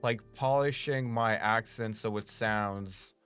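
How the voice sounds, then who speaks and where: A man's voice: fairly low pitch, slow pace, a bit bright, clear, resonant tone, soft background music. A young man from Romania with a slight Romanian accent, chatting casually with stream viewers, rambling with lots of "like" and freely swearing. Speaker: streamer and voice actor.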